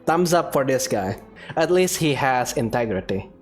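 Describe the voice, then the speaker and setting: A man's voice: medium pitch, expressive, moderate pace, soft background music. A man giving casual, informal playthrough commentary as a game streamer, with amused reactions.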